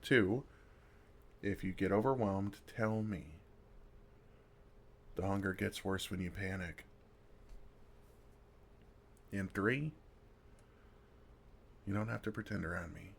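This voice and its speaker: A man playing a kind, wry older vampire who comforts a newcomer in an intimate, gentle ASMR roleplay, with dry humor and unhurried pauses. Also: low voice, creaky, breathy edge, heavily acted. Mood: wry, protective.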